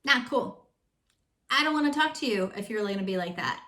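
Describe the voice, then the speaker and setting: A woman's voice: medium pitch, animated, fairly quick, sharp tone. A young woman with a Greek family background, a coach giving candid, chatty relationship advice to the camera. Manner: chatty and candid, with frequent fillers. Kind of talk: casual advice talk to camera. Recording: roomy echo.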